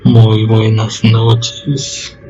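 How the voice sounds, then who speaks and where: A man hosting a horror radio show in a slow, solemn, ceremonious manner. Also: fairly low pitch, completely flat delivery, over music. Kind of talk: scripted radio drama hosting. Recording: dull lo-fi sound.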